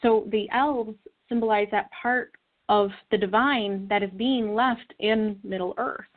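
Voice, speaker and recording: A woman speaks as an interview guest through a thin, phone-like connection. She explains things in an earnest, conversational way, at a middling pitch for a woman. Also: steady tone, measured pace. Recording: narrow phone-like call line.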